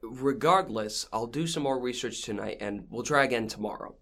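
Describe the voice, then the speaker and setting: A woman's voice: a bass voice, moderate pace, a bit bright, full character voice. A woman acting a practical, placating mother in an audio drama, brushing worries aside with some hesitation. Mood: brisk. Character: practical, brisk, dismissive mother in a haunted house.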